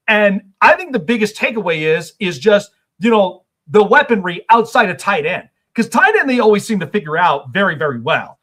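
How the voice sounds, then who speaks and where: Male sports talk host, high animated voice, rambling casual delivery. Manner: rambling questions with many fillers.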